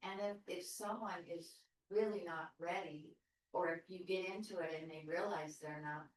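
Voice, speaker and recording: A woman's voice: on the low side, fairly even intonation, normal speed. A woman taking part in a group discussion, speaking thoughtfully and hesitantly with restarts. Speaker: group participant. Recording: very echoey room from far off.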